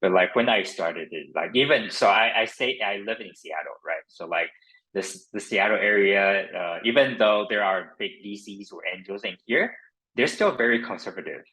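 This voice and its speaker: A man's voice: lowish voice, animated, normal speed, pushed and bright, rough recording. A man from Taiwan with a Taiwanese accent chats casually as an interview guest, hesitant and leaning on filler phrases like "you know". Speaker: crypto startup founder and podcast guest.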